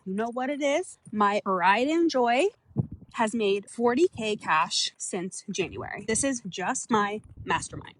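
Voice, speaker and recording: A woman's voice: medium pitch, a little hiss or hum, poor audio. A woman hosting her own podcast, talking bluntly and with lots of swearing, sounding stuffed up from a cold as she walks outdoors. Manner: blunt, profane, fast and energetic. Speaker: podcast host and business coach. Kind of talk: casual solo podcast monologue. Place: outdoors on an evening walk.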